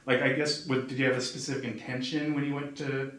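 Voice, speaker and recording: A man's voice: mid-range voice, flat delivery, fast talker, fairly bright tone. A man hosting an interview, asking questions in a casual, rambling way with many fillers. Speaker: podcast host. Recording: roomy, distant mic, faint noise.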